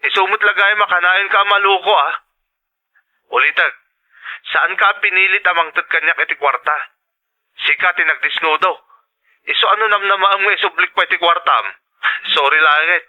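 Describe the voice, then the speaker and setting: A man's voice: high-pitched, lo-fi sound, lightly acted. A man playing a callous, evasive sweetheart in a melodramatic audio drama. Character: callous, evasive male sweetheart who scorns his lover. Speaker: drama actor.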